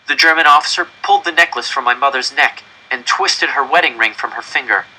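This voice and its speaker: A man's voice: mid-range voice, fairly quick, sharp tone, rough recording. A man reading a first-person story aloud with dramatic, tense delivery. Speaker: audiobook reader.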